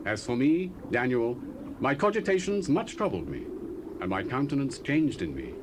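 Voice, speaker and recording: Solemn, troubled prophet Daniel, played by a man: measured, expressive lines, hissy cassette tape. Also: mid-range voice, lightly acted. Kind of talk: 1970s dramatized scripture reading.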